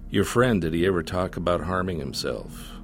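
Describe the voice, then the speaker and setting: Man reading as a clipped, deep-voiced young detective. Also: animated, moderate pace. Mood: businesslike questioning. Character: young, mournful, businesslike small-town police detective.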